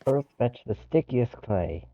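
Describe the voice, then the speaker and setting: A man's voice: mid-range voice, expressive, measured pace, gravelly, narrow, tinny audio, a little hiss or hum. A man streaming a game, casually reading lines aloud and commenting live.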